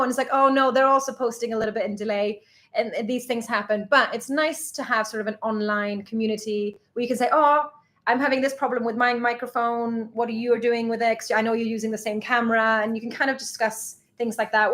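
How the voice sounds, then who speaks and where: A woman's voice: on the high side, brisk, pushed and bright. Chatty woman from Sweden. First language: Swedish. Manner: chatty, laughs often, reads out viewer questions. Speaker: travel vlogger co-host. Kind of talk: casual live Q&A chat.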